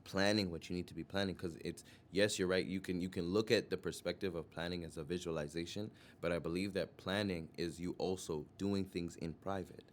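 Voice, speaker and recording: A man's voice: low-pitched, steady tone, brisk, hardly any pauses, slight background noise. A man co-hosting a podcast in a casual debate, earnest and insistent, repeating phrases for emphasis. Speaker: podcast co-host.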